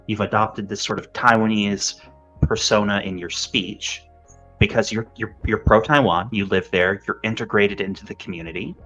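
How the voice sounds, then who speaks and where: A man's voice: fairly low pitch, fairly bright tone. A man from the rural American South with a Southern accent, hosting a podcast conversation and giving his opinion emphatically. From rural Southern United States. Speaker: podcast host. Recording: dull lo-fi audio with faint music.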